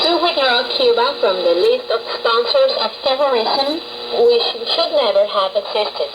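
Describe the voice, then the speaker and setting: A woman reporter reading a scripted news report, heard over shortwave radio. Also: on the high side. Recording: very noisy shortwave radio reception.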